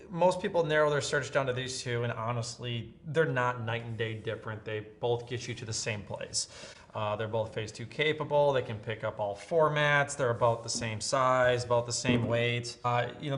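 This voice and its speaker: A man in his thirties talks through a product comparison in a casual, conversational style. His voice sits at an average pitch for a man. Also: moderate pace, fairly bright tone. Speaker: product reviewer. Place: room with brick wall, at a table.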